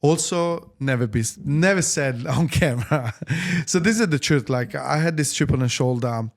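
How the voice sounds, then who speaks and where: A man with an Italian accent chats loosely in an interview, circling back and restarting his sentences. His voice sits at an ordinary middle pitch, and he keeps talking with animated energy. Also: moderate pace. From Italy. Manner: rambling, many restarts and fillers, animated. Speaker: tailor being interviewed. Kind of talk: casual interview conversation.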